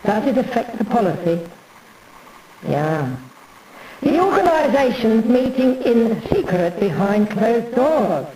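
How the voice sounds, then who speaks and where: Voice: medium pitch, big pitch swings, slow. A performer delivering a rambling, mannered theatrical monologue, addressing the listener familiarly as a pianist would from the stage. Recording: roomy echo, distant mic, music behind.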